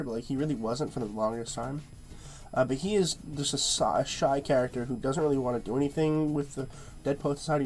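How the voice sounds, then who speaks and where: A man with a blocked, nasal-sounding nose talks through a film review in an easygoing, rambling way, leaning on fillers and saying words twice. His voice sits at an ordinary middle pitch. Soft music plays faintly behind him. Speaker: movie reviewer. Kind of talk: casual review monologue.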